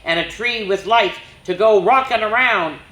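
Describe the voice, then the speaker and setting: A woman voicing a cheerful dancing Christmas reindeer from a picture book, with bouncy, excitable exclamations. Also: mid-range voice, normal speed, pitched above the performer's own voice, close to an everyday voice. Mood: excited. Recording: roomy echo.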